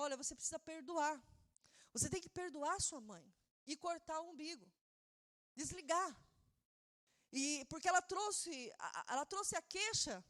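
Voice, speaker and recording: Woman pastor, non-native speaker, high voice, fervent sermon delivery with loud peaks. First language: not Portuguese. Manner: impassioned preaching, building to shouted emphasis; repeats words, stumbles and restarts while preaching.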